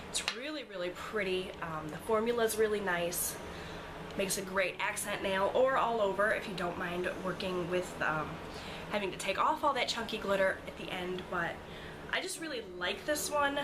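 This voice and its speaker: A woman's voice: mid-range voice, rough recording. Chatty woman gushing over nail polish. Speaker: beauty vlogger. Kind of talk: casual product review.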